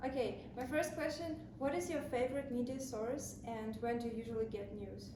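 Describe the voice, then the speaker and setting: A woman's voice: fairly high pitch, fairly even intonation, a little fast. A young woman asking interview questions with slightly phrasing and encouraging murmurs. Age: young adult. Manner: slightly non-native phrasing, encouraging mm-hmms. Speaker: student interviewer. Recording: roomy, distant mic, noisy.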